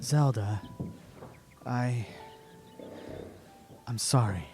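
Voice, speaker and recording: An actor playing an awkward, apologetic young male swordsman, hesitant and halting, in an audio drama. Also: mid-range voice, music in the background. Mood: sheepish. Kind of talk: fan audio drama acting.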